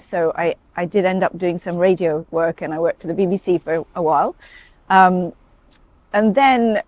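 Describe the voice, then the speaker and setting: A woman's voice: lowish voice, a little mellow. A woman with a British accent, a paediatric doctor who grew up in Saudi Arabia, talking freely as an interview guest. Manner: chatty, talkative, many fillers and asides. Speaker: guest, paediatric emergency consultant. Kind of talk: casual interview chat.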